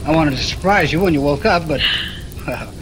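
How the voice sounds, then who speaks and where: Actor playing a two-faced 1940s American radio husband. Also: male voice, fairly high pitch, normal speed, lightly acted. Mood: doting. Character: two-faced husband, outwardly doting, secretly murderous. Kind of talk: 1940s radio drama acting. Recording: noisy vintage radio, rain and thunder behind.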